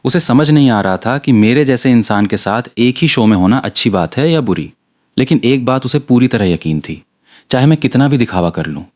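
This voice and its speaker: A man's voice: mid-range voice, expressive, normal speed, a little mellow. A man reading scripted dramatic narration for an audio story.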